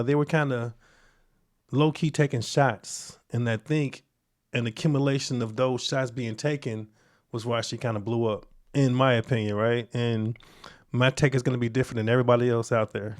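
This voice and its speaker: A man's voice: medium pitch, a little mellow, smooth. A man hosting a reaction video, giving casual, conversational commentary in an informal, slangy way.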